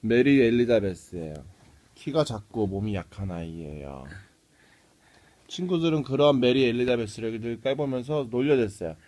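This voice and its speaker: A man, a father, reading a picture book aloud to his child in an animated way, with little asides. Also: mid-range voice, normal speed.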